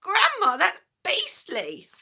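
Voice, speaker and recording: A woman's voice: wildly swooping pitch, takes it slowly, bright, forceful tone, heavily acted. A woman voicing a squeamish, horrified little boy in a children's storybook, protesting and exclaiming. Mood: disgusted. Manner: high, exclaiming, protesting delivery. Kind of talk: dramatic children's storybook reading.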